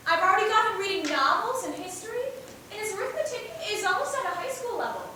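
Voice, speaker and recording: Casting: young actress as an encouraging, protective female teacher, bright and very high-voiced, in a cavernous empty theatre. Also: big pitch swings, quick pace, heavily acted. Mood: concerned pride. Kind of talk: amateur student stage acting. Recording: echoey stage, distant mic, low rumble.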